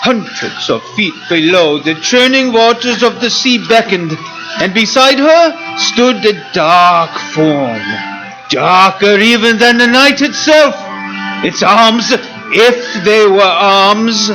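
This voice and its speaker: A man narrating a stormy scene in a live radio play, measured and building. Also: sits high, expressive, over music, poor audio. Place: stage before a live audience.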